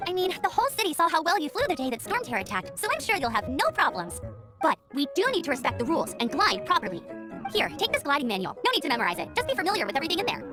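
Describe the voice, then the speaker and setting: Perky teenage girl scout, very high voice, quick pace, eager and earnest, video game character. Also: big pitch swings, music in the background.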